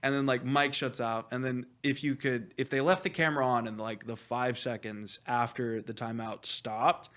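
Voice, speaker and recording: Male coach, animated and outspoken, rambling candidly in a casual interview, mid-range voice. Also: medium pitch, moderate pace, few pauses. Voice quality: loud voice. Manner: animated, rambling, heavy use of "like"; blunt, swears freely. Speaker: esports coach being interviewed.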